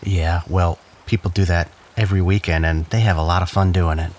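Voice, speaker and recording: A man's voice: low-pitched, fairly even intonation, brisk, darkish tone. An actor playing a sarcastic, defiant man in an audio drama, with a casual delivery. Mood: defiant, rattled. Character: flippant, defiant, wounded man, sarcastic under pressure.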